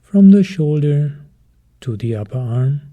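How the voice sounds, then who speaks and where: A man leading a guided meditation as a teacher, calm and measured, in short phrases with pauses between them. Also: fairly high pitch.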